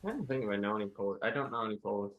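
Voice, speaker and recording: A man's voice: lowish voice, expressive, very fast, dull audio. A man co-hosting a podcast in casual chat, often stammering over repeated words, recorded in an echoing room. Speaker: podcast co-host. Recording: roomy echo, background noise, clicks.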